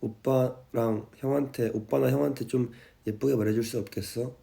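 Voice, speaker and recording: Drowsy, tipsy young man chatting softly. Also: mid-range voice, normal speed, gravelly. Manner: relaxed, drowsy and soft-spoken, reading viewer comments. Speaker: idol livestreamer. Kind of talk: casual late-night livestream chat. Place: at home, indoors. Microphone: phone livestream.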